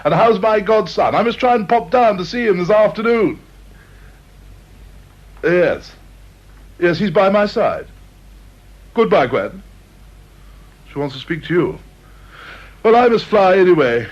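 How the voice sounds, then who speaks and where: A British actor in a radio drama playing a middle-aged, genial senior personnel chief, breezy, avuncular and chatty. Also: male voice, high-pitched, lively intonation, a little slow, pauses often. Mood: breezy, hurried. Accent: British English. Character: genial, chummy senior personnel chief, old-school gent. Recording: dull, lo-fi old radio recording.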